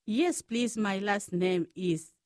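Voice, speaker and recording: A woman from the Democratic Republic of the Congo with a Congolese accent, telling personal stories as an interview guest in short, halting phrases of non-native English. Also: mid-range voice, a little mellow, no room sound, clean studio sound. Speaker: guest, Franciscan sister.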